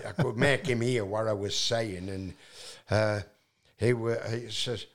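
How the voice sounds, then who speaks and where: A man's voice: fairly low pitch, steady tone, a little slow. A man from England, telling a long, rambling story as a guest and often restarting with fillers. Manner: loud voice, many fillers and restarts.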